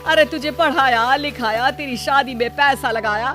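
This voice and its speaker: Greedy middle-aged cartoon mother acted by a woman, melodramatic scolder and wheedler, raised pitch. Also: normal speed, fairly bright tone. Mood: indignant. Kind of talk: cartoon story acting. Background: background music.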